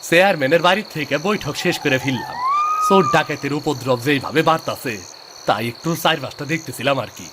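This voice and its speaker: A man's voice: a little high, fairly quick, very noisy, close to an everyday voice. A man voicing an anxious, deferential village clerk in a cartoon, with a rural eastern Bengali accent and a cautionary manner. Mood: deferential, worried. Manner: respectful, cautionary. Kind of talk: cartoon dubbing.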